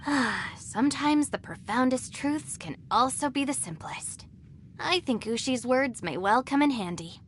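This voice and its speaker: A woman playing a calm, sensible young gang deputy in an anime video game, dry and matter-of-fact. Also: sits high, a little slow, slight background noise. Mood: reflective. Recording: music behind the voice.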